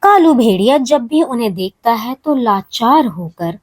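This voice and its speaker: Slow, animated woman storyteller. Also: a little high, no echo. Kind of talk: scripted children's story narration.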